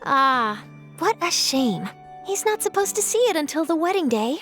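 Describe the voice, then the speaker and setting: High-voiced, polite young reporter. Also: female voice, moderate pace, faint music behind. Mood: sympathetic. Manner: polite, attentive, expressive. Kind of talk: video game character acting.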